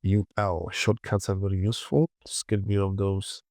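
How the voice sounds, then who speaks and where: A man giving a casual software tutorial, thinking aloud and muttering short clipped phrases to himself as he works. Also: low voice, level tone, moderate pace, dry recording, clean studio sound. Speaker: 3D modeling tutorial presenter.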